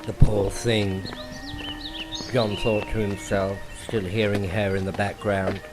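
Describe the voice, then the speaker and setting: A man narrating a dramatic horror story in a theatrical way, with deliberate pauses. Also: on the low side, flat delivery. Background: music.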